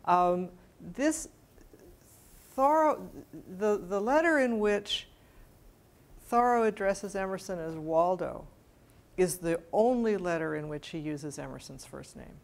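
A woman's voice: lowish voice, expressive, slow, gravelly. A middle-aged woman speaking informally to a room as she leads questions, often restarting her phrases. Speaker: speaker leading a talk and question session. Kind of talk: informal public talk, taking questions. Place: indoor meeting room with audience. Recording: room ambience, some background noise.

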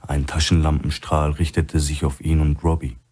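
A man's voice: very deep voice, little pitch movement, normal speed, a little mellow, slightly rough. A man reading a crime thriller audiobook as a scripted narration.

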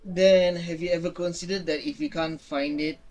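A man's voice: on the high side, steady tone, slow, darkish tone. A young man giving casual, laid-back reaction commentary while streaming a game.

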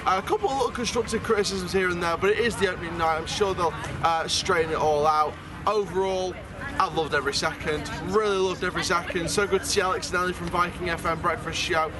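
A man's voice: high voice, steady tone, fast. A young man, reviewing a Halloween event in a chatty, upbeat vlog at night outdoors. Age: young adult. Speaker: theme park vlogger. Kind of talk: casual vlog review and interviews. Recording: loud crowd and music outdoors. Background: loud music and crowd.

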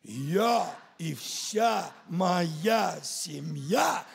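A man preaching a sermon, leading his congregation in slow, emphatic declarations. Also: sits high, animated, creaky, slightly rough. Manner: slow, emphatic proclaiming, leading the crowd in chanted lines. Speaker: pastor. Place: large church hall. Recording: echoey church hall livestream.

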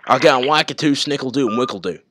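A man's voice: mid-range voice, quick pace, pushed and bright. Country-accented man, rambling over noisy recording. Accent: country American. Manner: rambling, slangy, swearing. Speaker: prank caller and video maker. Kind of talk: casual phone prank call.

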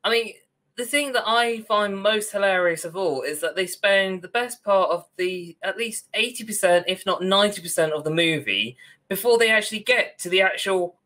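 A man's voice: sits high, normal speed, sharp tone. A young man co-hosting a podcast over a video call, giving a wry, opinionated, casual critique. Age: young adult. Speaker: podcast co-host. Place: small room at home, on video call.

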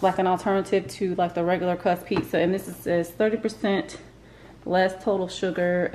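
A woman's voice: fairly low pitch, noisy background. A woman, rambling casually through a grocery haul in her kitchen, with plenty of fillers and restarts. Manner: chatty and rambling, with many fillers and self-corrections. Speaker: vlogger. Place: home kitchen, indoors. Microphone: camera pointed at the counter, speaker off-screen.

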